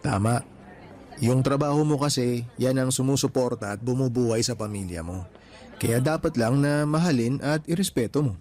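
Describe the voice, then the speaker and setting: A man plays an earnest young husband and father-to-be in a radio love story. He talks in an easygoing, conversational way, like a devoted hard worker. Music sits softly behind him. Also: medium pitch, moderate pace, natural voice. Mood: reassuring, proud. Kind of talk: Tagalog radio romance drama acting.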